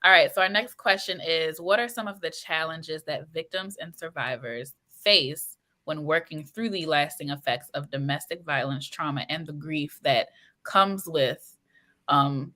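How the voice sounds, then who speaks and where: Low-pitched woman hosting an interview. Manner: measured, asks questions, soft affirmations. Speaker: host.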